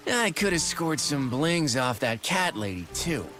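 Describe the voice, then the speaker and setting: An actor playing a greedy, smug small-time crook in a video game, gloating with a theatrical drawl. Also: male voice, on the high side, fairly bright tone, heavily acted. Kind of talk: theatrical game cutscene voice acting.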